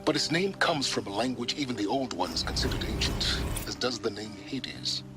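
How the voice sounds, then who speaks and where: A man's voice: medium pitch, animated, moderate pace, music in the background, natural voice. A man playing an aloof, secretive, menacing scholar in a video game, speaking with cold, condescending precision. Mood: aloof, intrigued. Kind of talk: video game cutscene dialogue.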